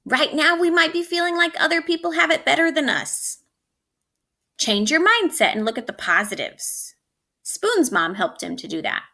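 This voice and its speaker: Bright, very animated woman teacher. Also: high voice, normal speed, vocal fry. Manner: expressive, addressing children directly. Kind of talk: storybook read-aloud and lesson for children.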